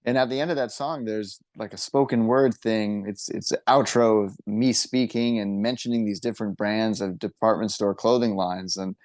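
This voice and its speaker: Low-voiced man musician, dry sound. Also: steady tone, normal speed, hardly any pauses, darkish tone, dry recording. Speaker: singer and songwriter in a band. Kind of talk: casual podcast interview.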